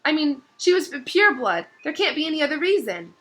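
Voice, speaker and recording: An anxious schoolboy wizard from a storybook, played by a woman, with a raised, bright voice. He speaks earnestly and urgently, halting over his words. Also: high-pitched, big pitch swings, pitched above the performer's own voice. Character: anxious, earnest boy wizard, worried about his sister.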